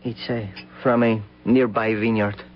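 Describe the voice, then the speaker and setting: A man acting in an old-time radio drama as a simple, childlike manservant with a Spanish accent, halting and repetitive, fearful and servile with a cruel streak. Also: on the low side, level tone, a little hiss or hum, close to an everyday voice. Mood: guarded. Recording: dull old radio broadcast recording.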